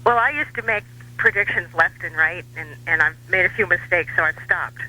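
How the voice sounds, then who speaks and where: An American man giving political commentary as a radio guest, wry and dryly humorous, with measured hesitations. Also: high voice, sharp tone, rough recording. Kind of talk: talk radio interview.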